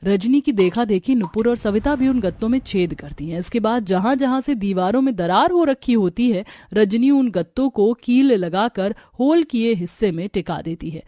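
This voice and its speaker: A woman's voice: mid-range voice, lively intonation, normal speed, hardly any pauses, warm tone, no echo. A woman narrating a scripted cartoon story.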